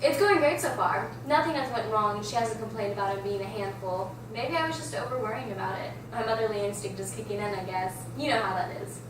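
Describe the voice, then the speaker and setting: A woman's voice: mid-range voice, fast talker, hardly any pauses, lightly acted. A woman reading the part of an easygoing big sister and new mother in an amateur script table read. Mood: casual. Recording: very echoey room, distant mic, clear background noise.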